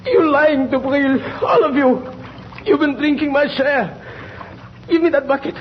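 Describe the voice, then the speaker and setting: Delirious starving convict, radio melodrama: high-pitched, desperate, accusing, faint hiss over the sound. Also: male voice, measured pace. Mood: vengeful, accusing. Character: starving, thirst-crazed, vengeful dying male convict castaway. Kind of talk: old-time radio melodrama acting. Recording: dull, hissy old radio recording.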